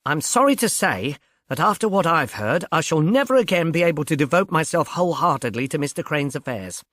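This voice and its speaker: A man playing a little, dignified lawyer in a storybook, strained and formal, speaking with halting courtesy. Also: on the high side, sing-song, a bit bright, dry recording, clean studio sound, voice pushed up. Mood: strained, courteous.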